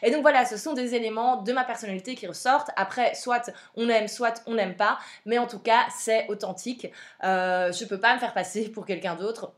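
A woman's voice: on the high side, normal speed. A young woman with a Belgian French accent hosting a podcast, chatty and frank with plenty of fillers. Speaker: podcast host. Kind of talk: casual advice podcast.

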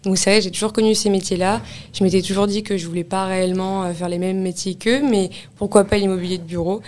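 A woman's voice: mid-range voice, fast. A woman in her twenties from Paris, talking easily about her career in a podcast interview, with frequent hesitation fillers. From Paris, France. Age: 20s. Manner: relaxed, conversational, frequent "euh" fillers. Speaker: office real estate consultant, guest.

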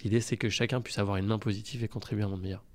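A man's voice: fairly low pitch, fairly even intonation, very fast. A man speaking as a podcast guest, earnest and enthusiastic, often repeating words and restarting his phrases. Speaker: podcast guest, company founder. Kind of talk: casual podcast talk.

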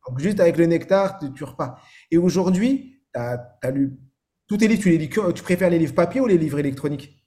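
A man hosting an interview podcast, speaking in a slightly raised, warm voice. He keeps affirming his guest and asks loose, rambling questions. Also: a little high, expressive, brisk. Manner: affirming, frequent "d'accord". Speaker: podcast host. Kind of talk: casual interview conversation.

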